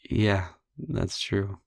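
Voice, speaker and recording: A man playing a young vampire boyfriend in an intimate audio roleplay, softly confiding in a breathy, husky near-whisper with halting pauses and wry asides. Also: fairly low pitch, no echo, studio quality, heavily acted.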